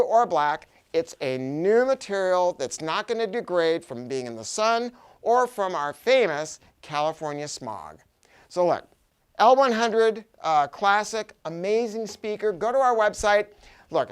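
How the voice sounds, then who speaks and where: Male American product reviewer, highly animated delivery, chatty and emphatic, off-the-cuff salesman style. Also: smooth. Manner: chatty, emphatic, repeating words for stress. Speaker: audio shop owner presenting a product review. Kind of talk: enthusiastic unscripted product pitch.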